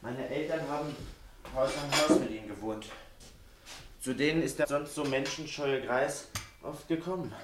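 A man's voice: fairly high pitch, moderate pace, background noise, close to an everyday voice. An actor in a German radio play playing a clever, earnest, evasive young male pupil, speaking precisely and politely. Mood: earnest, insistent.

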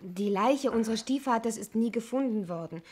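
Husky, suspicious woman played by an actress. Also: fairly high pitch, normal speed, close to an everyday voice. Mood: reserved, explaining. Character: suspicious, insistent adoptive niece visiting her aunt's chalet. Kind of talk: 1960s German radio play acting.

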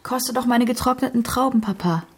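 A woman's voice: a little high, a little fast, slight background noise, natural voice. A woman playing a sweet, eager young daughter in a radio drama, speaking politely and tenderly. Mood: eager, coaxing.

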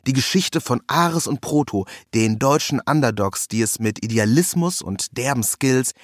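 Man, scripted story narration, ironic tone, mid-pitched voice, dry recording. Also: expressive, normal speed, dry recording. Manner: wry, ironic delivery with acted quotes. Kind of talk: scripted first-person literary narration.

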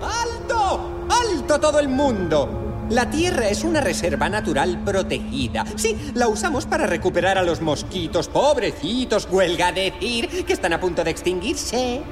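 A man's voice: high voice, big pitch swings, moderate pace. A man playing a nervous, fussy one-eyed alien expert in a children's audio story, flustered and pleading. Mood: alarmed, fussy. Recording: music behind.

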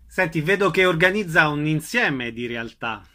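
A male interviewer with a fairly high, bright voice. He speaks informally, prompting his guest and recapping practical details. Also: animated, normal speed. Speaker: radio-style interviewer.

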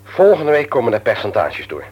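Husky, animated, self-pitying husband. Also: male voice, mid-range voice, brisk, highs cut off. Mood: self-satisfied. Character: self-pitying, self-satisfied husband and office boss. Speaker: radio play actor. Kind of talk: Dutch radio play acting.

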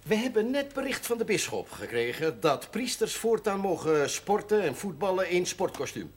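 Friendly priest, 1970s TV drama: informal, persuasive, higher-set and very animated delivery. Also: male voice, moderate pace, breathy edge, heavily acted. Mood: amused. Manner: informal, reasoning, gently wry. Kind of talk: naturalistic 1970s television drama acting. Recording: audio of 1970s television broadcast, music.

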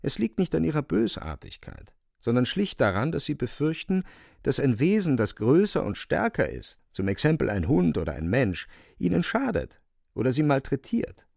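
An actor narrating a satirical story for a radio play in an ironic, theatrical, emphatic manner. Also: male voice, medium pitch, huge pitch swings, moderate pace, creaky, a little mellow, dry recording, clean studio sound, heavily acted. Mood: wry, ironic. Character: wry, theatrical male storyteller of satirical novel.

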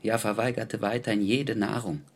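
An actor playing a devoted, courteous attendant to an ailing man in a radio drama, speaking in a gentle, orderly way. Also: lowish voice, steady tone, normal speed. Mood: earnest, reporting.

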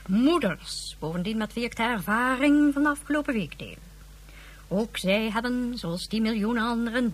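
A fairly high, slightly husky woman's voice as an icy, haughty person in power. She speaks formally and cuttingly, in a science fiction radio play. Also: a bit bright.

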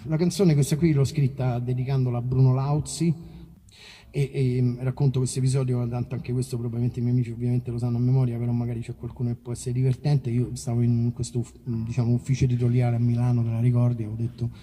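A man's voice: medium pitch, flat delivery, fast talker, dark tone, in a large hall, slight background noise. A man with a Roman Italian accent chatting casually on stage as a singer-songwriter, informal, rambling and self-deprecating as he tells stories. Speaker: singer-songwriter talking between songs at a concert. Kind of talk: casual onstage storytelling.

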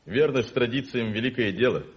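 An actor playing a stolid, conservative army colonel in a radio play, measured and sententious. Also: male voice, mid-range voice, close to an everyday voice. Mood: solemn. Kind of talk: 1980s Soviet radio play acting. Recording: muffled 1980s radio drama recording.

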